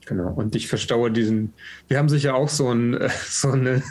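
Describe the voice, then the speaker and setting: A man's voice: medium pitch, steady tone, a little fast, darkish tone. A man, chatting casually and flippantly as he describes his character's actions in a role-playing game. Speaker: tabletop role-playing player. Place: indoors at home, on a video call.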